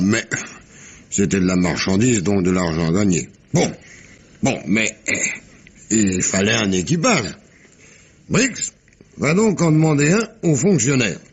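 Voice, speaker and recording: A very old seaman spinning a yarn in a radio mystery. His voice is husky and fairly low, swooping widely in pitch. He is sly and weary, halting with salty asides. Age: 80s. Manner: halting, chuckling, salty storyteller with hums and asides. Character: very old, weary, sly French sailor telling a secret. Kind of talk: French radio mystery drama acting.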